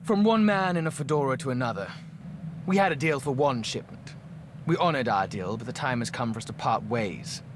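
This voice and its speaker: A man's voice: medium pitch, animated, a little fast, lightly acted. An actor playing a wry, ancient undead businessman in a video game, with a dry, sardonic manner. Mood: firm, dismissive. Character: wry three-thousand-year-old undead male businessman in fedora. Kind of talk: dramatic video game voice acting. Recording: clear background noise.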